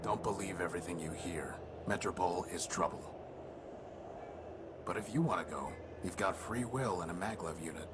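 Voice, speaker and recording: A man playing a world-weary android tinkerer in a video game, gruff and terse, with a dry, serious delivery. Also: deep voice, normal speed, very noisy, lightly acted. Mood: dry, firm.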